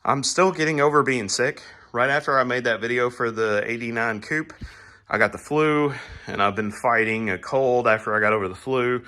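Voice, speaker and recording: Raspy-voiced man, laid-back. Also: mid-range voice, normal speed, sharp tone. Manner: laid-back, rambling with fillers. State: raspy, recovering from a cold. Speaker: garage channel host. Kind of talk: casual how-to vlog. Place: home garage, working on a car.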